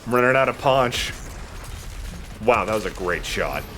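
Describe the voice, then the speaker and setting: A man's voice: fairly low pitch, lively intonation, unhurried, pushed and bright, a little hiss or hum. A man giving casual, joking gameplay commentary in an informal way. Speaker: let's play co-host, player. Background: video game music.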